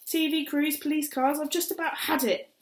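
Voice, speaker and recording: A woman's voice: steady tone, moderate pace. A woman voicing a frantic, furious mother scolding her children in an audiobook. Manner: scolding, shrill, breathless tirade. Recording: roomy echo.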